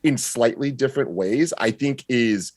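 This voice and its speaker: A man talking casually as a podcast guest, explaining his opinions in a measured, reasoning way. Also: medium pitch, a little mellow, no room sound, clean studio sound. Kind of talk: casual chat, opinion and analysis.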